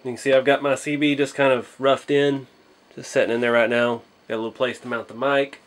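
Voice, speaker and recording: A man's voice: medium pitch, level tone, fairly quick. Modest man, thinking aloud. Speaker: hobby channel host. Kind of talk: casual hands-on build vlog.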